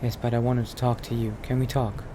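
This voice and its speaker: A man's voice: medium pitch, level tone, fairly quick, background noise. A man roleplaying in character through a video game, thinking aloud in a hesitant murmur. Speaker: player roleplaying a vampire Dark Elf character.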